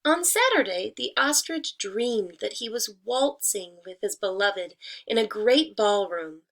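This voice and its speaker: Female elementary teacher, mid pitch with noticeable fry. Deliberate read-aloud delivery aimed at young children. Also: expressive, fairly bright tone.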